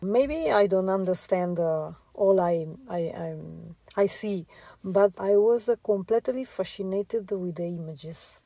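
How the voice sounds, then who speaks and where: Woman, Italian accent, slow and halting, mellow tone, dry studio sound. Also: medium pitch, dry recording. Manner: halting, many filler sounds. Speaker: interview guest, visual artist. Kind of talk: interview answers. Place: radio studio.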